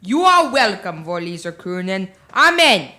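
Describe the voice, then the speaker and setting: Young girl doing a pompous fussy schoolmaster in a radio play, bright voice, really swoopy. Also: medium pitch, big pitch swings, measured pace. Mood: pleased, flowery. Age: young teenager. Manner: pompous, flowery, correcting. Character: Ichabod Crane, fussy, pompous schoolmaster and singing teacher.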